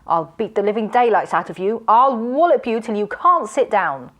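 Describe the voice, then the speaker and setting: A woman voicing a wicked male magician who shouts furious, blustering threats. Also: high-pitched, big pitch swings, slow, pitched above the performer's own voice, exaggerated voice.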